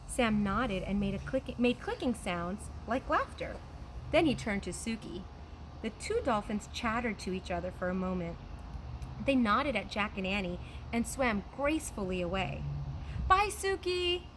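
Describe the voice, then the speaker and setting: Lady teacher doing an animated kids read aloud outside, bit of background noise. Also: mid-range voice, normal speed, low-quality recording. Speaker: teacher reading aloud to pupils. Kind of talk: expressive children's storybook read-aloud. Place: outdoors on a trampoline. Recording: background noise, outdoor ambience.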